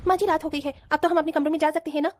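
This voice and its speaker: Woman acting a high-pitched, whiny young daughter-in-law. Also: steady tone, racing, a little mellow, no echo, clean studio sound, natural voice. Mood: whiny, pleading. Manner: whiny, complaining. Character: spoiled, whiny young rich daughters-in-law, work-shy. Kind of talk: Hindi cartoon family drama acting.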